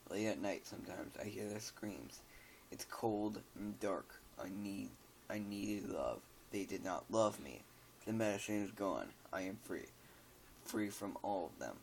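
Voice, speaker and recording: A man reading out the diary of a lonely, disturbed man who killed his family, in a quiet, flat, brooding way. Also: on the low side, a little hiss or hum.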